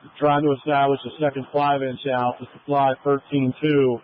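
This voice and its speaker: A man's voice: mid-range voice, flat delivery, moderate pace, slight background noise. A man acting as fire incident commander, speaking in a matter-of-fact, procedural way over a two-way radio. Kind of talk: emergency radio traffic. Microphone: radio handset. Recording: tinny narrowband fire radio transmission.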